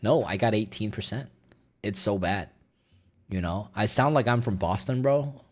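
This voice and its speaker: A man's voice: lowish voice, normal speed. Young guy streaming, mandarin is his first language, rambly, swears a lot, filler words everywhere. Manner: rambling, profane, filler-heavy. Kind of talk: casual reaction stream chat.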